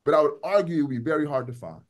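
A man's voice: fairly high pitch, very animated, very fast. Guy teaching on a video call, talky, repeats himself, keeps asking students pointed questions. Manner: conversational, repeats words and asks pointed questions. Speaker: lecturer. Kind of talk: discussion leading a video-call class.

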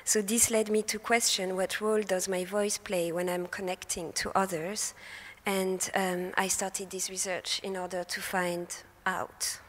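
A young woman from France with a French accent, giving a prepared talk into a handheld mic from a lectern. Her voice is soft and airy, and she hesitates and corrects herself now and then. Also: medium pitch, flat delivery, a little slow. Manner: hesitant, with fillers and self-corrections. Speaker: speaker presenting research. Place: festival talk stage in a large hall. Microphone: handheld microphone at a lectern. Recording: long hall reverb.

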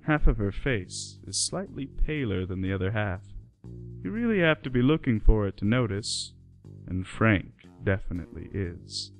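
A man's voice: lowish voice, big pitch swings, moderate pace, faint music behind. A man giving scripted narration for a radio play.

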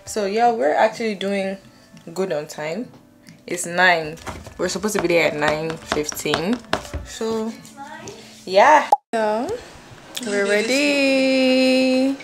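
A woman's voice: mid-range voice, takes it slowly, pauses often, music in the background. A young woman chatting casually and informally to camera in a slangy vlog style. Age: young adult. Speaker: vlogger.

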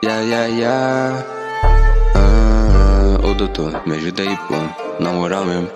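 Brazilian male trap vocalist; drowsy melodic delivery, loud beat behind. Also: low voice, fairly even intonation, slow pace, over loud music. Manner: drowsy melodic sing-rap with breathy ad-libs. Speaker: trap artist. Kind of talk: sung and rapped trap track.

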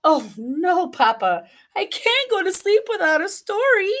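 An eager little girl chicken from a picture book, acted out by a woman reading aloud. The voice is high and very animated. It is childlike and dramatic, quick to plead and apologize. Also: exaggerated voice.

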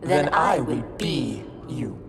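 An actor playing a menacing, smug envy demon in a video game, taunting theatrically. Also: female voice, rumbling low, lively intonation, slow, heavily acted. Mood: gloating menace. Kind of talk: video game cutscene acting. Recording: loud music behind.

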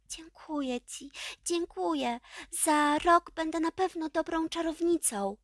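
A woman's voice: very high voice, slow pace, dry recording, studio quality, pitched above the performer's own voice, full character voice. A woman voicing an eager, timid little girl witch with an earnest, pleading manner, in a children's storybook. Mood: grateful, eager.

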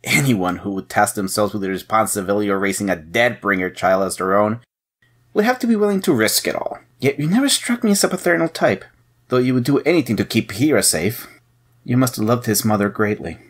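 An actor playing a shrewd, suspicious head agent, probing and mocking, in an audio drama. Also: male voice, on the low side, lively intonation, brisk, husky. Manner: probing, sardonic.

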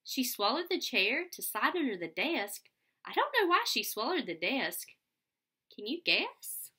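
A woman's voice: fairly high pitch, sing-song, a little slow, pushed and bright, husky. A young adult woman reading a picture book aloud to children, explaining simply and putting questions to her listeners. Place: small furnished room at home, indoors. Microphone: computer webcam at about arm's length.

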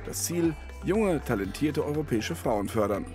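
A man narrates a news feature in a polished voice-over, his pitch moving with a lively lilt. A clear music bed plays under his words. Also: normal speed. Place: studio voice-over.

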